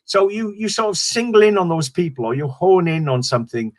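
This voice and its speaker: Middle-aged Welsh man, comic, higher voice, loose rambling storyteller. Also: sing-song, fairly quick. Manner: rambling, restarts sentences, swears casually. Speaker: stand-up comedian guest. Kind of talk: casual interview chat.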